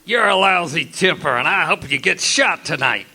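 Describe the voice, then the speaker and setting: An actor playing a sour, surly city cab driver in a comic radio drama. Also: male voice, a little high, animated, normal speed, sharp tone, slightly rough, full character voice.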